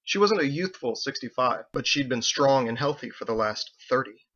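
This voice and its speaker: A man's voice: medium pitch, animated, moderate pace, fairly bright tone, highs cut off. A man reading scripted audiobook narration, an author telling his own story.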